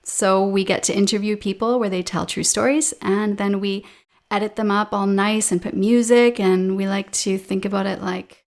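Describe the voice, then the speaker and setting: Canadian woman in her 30s, relaxed and chatty, lots of ums, sounds like a video call. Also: medium pitch, normal speed. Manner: relaxed, chatty, with frequent fillers. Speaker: podcast guest, English teacher. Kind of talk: casual interview chat. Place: home room with a painting behind her.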